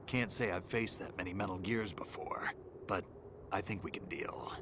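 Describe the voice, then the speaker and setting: A battle-worn soldier with a very deep, gravelly voice that stays close to a whisper, terse and unimpressed by anything. The game audio carries a clear hum of ambient noise beneath him. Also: male voice, normal speed. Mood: steady. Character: gruff veteran male soldier, terse and stoic. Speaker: game character. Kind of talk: video game cutscene voice acting.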